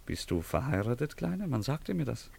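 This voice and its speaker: A man's voice: mid-range voice, sing-song, moderate pace, creaky, darkish tone, breathy edge, heavily acted. An actor playing an ardent, playful young nobleman in a theatrical radio play. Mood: tender, teasing.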